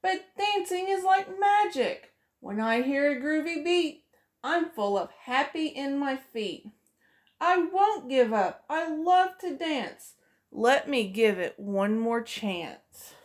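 A woman's voice: high voice, sing-song, takes it slowly. A middle-aged woman, a preschool teacher, reading a picture book aloud to children in a sing-song way, voicing each character, recorded close up in a small room.

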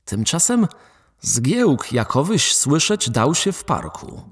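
A man narrating a radio play in an expressive, animated storytelling way. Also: on the high side, slow, slightly rough. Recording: faint background music.

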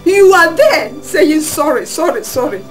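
A woman's voice: sits high, very animated, a little slow, over music. An actress playing a distraught mother who bitterly reproaches her daughter in a family drama. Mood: reproachful. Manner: reproachful, lamenting. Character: distraught middle-aged mother, scolding and bitter.

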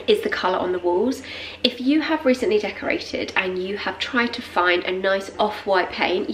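A young British woman talks to a handheld camera in her kitchen, her voice thick and stuffy from a head cold. She rambles along casually, full of "like" and "um", at a middling pitch, with a faint hum of room ambience behind her. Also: poor audio. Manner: rambling, filler-heavy, lots of "like" and "um". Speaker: lifestyle vlogger. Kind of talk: casual chatty vlog to camera. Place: home kitchen, indoors. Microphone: handheld camera at arm's length.

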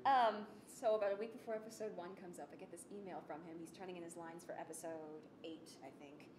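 A young adult woman giving a casual presentation, chatty, animated and self-deprecating. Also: on the low side, quick pace, noisy background. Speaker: student presenter of an audio drama project. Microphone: desktop microphone on the table. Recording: roomy, echoey sound with music behind.

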